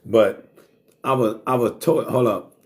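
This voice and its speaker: A man's voice: medium pitch, measured pace. Blunt streetwise man swearing freely. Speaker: talk show host. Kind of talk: casual monologue to live chat.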